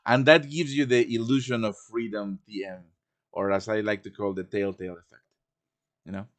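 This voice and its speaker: Low-voiced man giving a casual live tutorial. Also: fairly even intonation, moderate pace. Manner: chatty, often checks in with "right?". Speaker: host teaching game quest design. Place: live stream over online voice chat.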